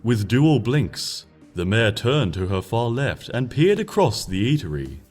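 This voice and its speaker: Expressive male storyteller, lively pitch. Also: measured pace. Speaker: fan fiction reader, narrator. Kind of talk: scripted story narration, audiobook reading. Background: background music.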